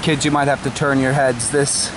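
A young adult man hosting a mock nature show to camera in a halting, deadpan, mock-reverent way. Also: mid-range voice. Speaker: host of a comedy cooking show. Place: outdoors in a suburban backyard. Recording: noisy outdoor camera audio, background hiss.

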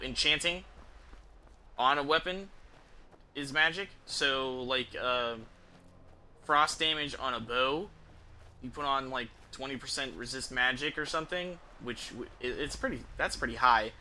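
A young man giving casual, halting gameplay commentary, muttering to himself as he plays. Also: medium pitch, sharp tone. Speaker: game streamer. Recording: clear background noise, game ambience.

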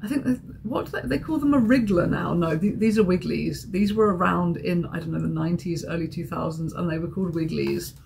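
A woman's voice: on the low side, fairly quick, creaky, hardly any pauses, darkish tone, a little hiss or hum. A young woman vlogging from a hotel room, rambling and giggly, hungover and getting a sore throat. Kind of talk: casual vlog chat.

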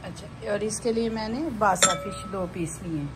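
Woman demonstrating cooking over clear background noise. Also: medium pitch, moderate pace. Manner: repeats phrases while showing each step. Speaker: home cooking vlogger. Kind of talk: casual cooking demonstration, step by step. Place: home kitchen, indoors. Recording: noisy home kitchen recording.

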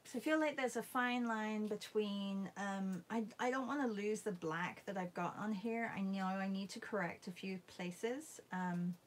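A woman giving a casual painting demo, thinking aloud in a halting, musing way and murmuring to herself as she works. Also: mid-range voice, a little hiss or hum. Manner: halting, musing, murmurs to self while working. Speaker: art demonstrator. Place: indoors at a painting table.

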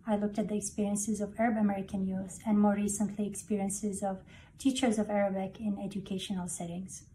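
A woman's voice: mid-range voice, flat delivery, a little fast, mellow, slight background noise. A woman professor describing her research steadily and precisely over a video call. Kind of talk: faculty research introduction. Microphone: video call webcam.

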